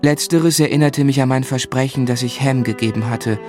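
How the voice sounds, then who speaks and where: An actor playing a grieving young husband who tells his life story in a radio play, sombre and measured. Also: mid-range voice, over music, lightly acted. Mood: subdued narration. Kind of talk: literary radio play narration and acting.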